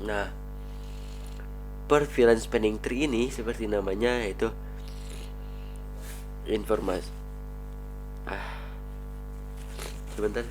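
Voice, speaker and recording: A man's voice: fairly low pitch, fairly even intonation, slow, pauses often, over music, poor audio. A man with a cold explaining network switch configuration in a screen-recorded tutorial, talking viewers through each command as he types. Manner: addresses viewers as friends, talks while typing commands. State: has a cold. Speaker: networking instructor.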